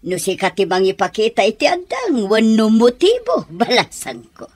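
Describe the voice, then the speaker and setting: A woman playing an ailing, wheedling matchmaking mother in an Ilocano-accented radio comedy drama, with a husky voice. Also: a little high, very animated, moderate pace, a little mellow, no room sound. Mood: teasing, scheming.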